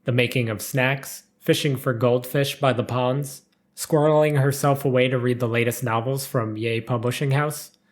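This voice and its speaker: A man's voice: mid-range voice, steady tone, normal speed. A man reading a scripted story aloud as narrator, with formal, careful delivery.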